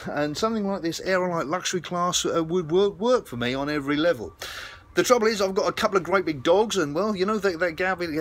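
Animated middle-aged British man, chatty. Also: on the high side. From United Kingdom. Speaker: RV salesman presenting a vlog. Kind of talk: casual walk-through product pitch to camera. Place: RV sales lot, outdoors and inside trailers. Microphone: phone at arm's length. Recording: handheld recording with clear ambient noise.

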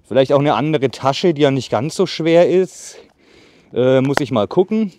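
A man in his thirties demonstrating a saw outdoors in casual talk, a bit out of breath, with many fillers and pauses. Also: mid-range voice, lively intonation, darkish tone, a little hiss or hum. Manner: chatty, filler-heavy, pauses while sawing. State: slightly out of breath from sawing. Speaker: bushcraft channel host. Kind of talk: casual product demo. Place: outdoors in a leafy meadow by trees. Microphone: far camera on a tripod.

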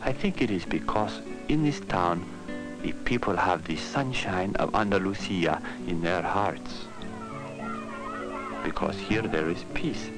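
A man narrating an educational film in simple scripted sentences, telling it like a story in measured, deliberate phrases. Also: low voice, low-quality recording. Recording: 1960s educational film soundtrack with music. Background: guitar music.

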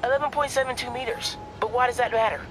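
A man's voice: extremely high pitch, steady tone, fast talker, fairly bright tone, slight background noise. A young male actor with an American accent playing an eager, inquisitive teenage superhero apprentice in a video game. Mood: curious, impressed. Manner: casual, youthful, inquisitive.

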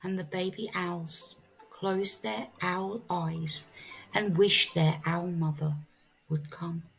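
Slow, low-voiced woman storyteller. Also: steady tone, vocal fry, fairly bright tone, slightly rough, poor audio. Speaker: storyteller and English teacher. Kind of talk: slow read-aloud storytelling for children.

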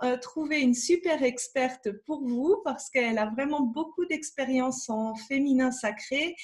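A woman hosts a live masterclass over a video call. She welcomes viewers by name and introduces her guest. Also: sits high. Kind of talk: hosting a live video session. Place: home room. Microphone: webcam on a video call.

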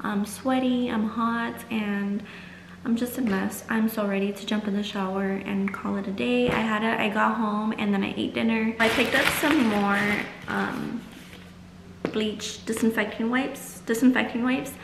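A woman's voice: fairly high pitch, steady tone, unhurried, gravelly, reverberant, slight background noise, low-quality recording. A young woman chatting casually and informally to viewers in a vlog, sprinkling her talk with "like". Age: young adult. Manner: chatty, informal, heavy use of "like". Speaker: vlogger. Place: small room at home, indoors.

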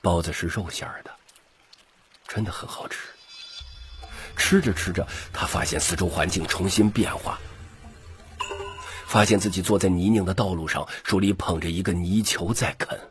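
一名男性有声书播讲者，以戏剧化的方式照稿讲述故事。. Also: male voice, low voice, moderate pace, pauses often, soft background music. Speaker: audiobook narrator. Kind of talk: scripted dramatic story narration.

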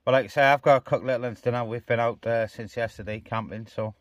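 Man chatting casually over clear noise. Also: medium pitch, steady tone, fast talker. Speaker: vlogger and CB radio operator. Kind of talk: casual chat. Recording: noisy, radio hiss and clicks.

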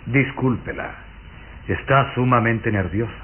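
An actor playing a pale, suave, scheming count in an old radio serial, courtly and insinuating in manner. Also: male voice, mid-range voice, a little slow, lightly acted. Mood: smooth, courtly. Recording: muffled, hissy old radio serial recording.

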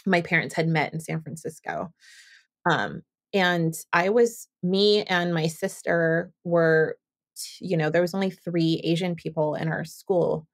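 A podcast host, a woman from Southern California, chatting in a loose, rambling way. Her voice sits a little low and carries a steady gravelly fry. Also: unhurried. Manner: rambling, thinks aloud with many fillers. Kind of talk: casual interview chat.

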